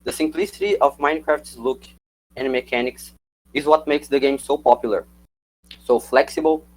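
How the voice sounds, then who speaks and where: Young man, a native Portuguese speaker, reading a scripted talk to slides in an even middle pitch. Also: normal speed, poor audio. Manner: reads prepared script, calls for slides. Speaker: student presenter. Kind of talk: prepared slide presentation.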